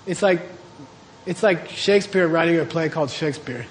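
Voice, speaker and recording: A man's voice: on the high side, moderate pace, slight background noise, rough recording. A man teaching a class, explaining terms step by step and checking in with his listeners. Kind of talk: classroom lecture on Tibetan texts.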